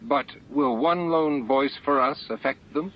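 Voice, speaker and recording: A man's voice: medium pitch, a little slow, pitched above the performer's own voice, natural voice. A man voicing a grave, formal fleet admiral in a science fiction audiobook, firm and earnest. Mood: stern. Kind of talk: audiobook character dialogue. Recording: muffled lo-fi audiobook with music.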